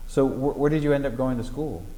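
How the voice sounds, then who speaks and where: A man's voice: mid-range voice, fairly quick, warm tone, in a large hall, slight background noise, low-quality recording. A man conducting an oral history interview, asking friendly questions with frequent fillers. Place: library room.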